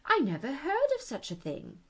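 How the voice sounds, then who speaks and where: A woman's voice: sits high, wildly swooping pitch, normal speed, fairly bright tone, pitched above the performer's own voice, exaggerated voice. A woman voicing an earnest, puzzled, polite young girl in a storybook, with a questioning manner. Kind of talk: storybook character voice.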